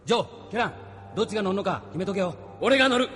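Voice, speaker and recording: A man's voice: high voice, expressive, rapid-fire, fairly bright tone, soft background music. A man playing a hot-headed, eager young crewman in a Japanese audio drama. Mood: agitated, eager. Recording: long hall reverb, some noise.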